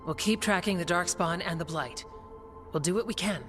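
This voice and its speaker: Fantasy game warden: practical, loyal woman soldier, lowish voice played by an actress, music behind. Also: moderate pace, close to an everyday voice. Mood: concerned, resolute. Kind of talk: dramatic fantasy game acting.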